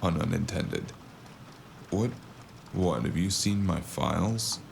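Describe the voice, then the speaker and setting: An actor playing a drained, wounded investigator in an audio drama, dazed and in pain but dryly wry and halting. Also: male voice, deep voice, creaky, a little hiss or hum, full character voice. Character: drained, wounded, sardonic male investigator, stubborn and groggy.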